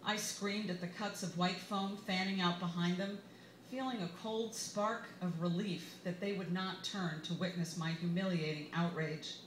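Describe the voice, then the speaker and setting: An American woman, an author giving an expressive live reading of her memoir in a wry manner. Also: lowish voice, normal speed. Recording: roomy, echoey bar with background noise.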